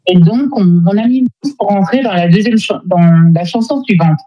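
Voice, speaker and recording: A woman's voice: medium pitch, moderate pace, dull audio. A woman speaking as a guest in a conversational radio interview, hesitantly searching for her words. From Democratic Republic of the Congo. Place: radio studio.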